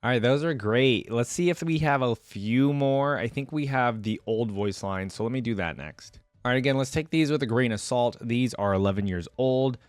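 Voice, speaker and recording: A man's voice: mid-range voice, normal speed, few pauses, smooth, dry recording, faint music behind. A man giving informal reaction commentary as host, thinking aloud in a casual way.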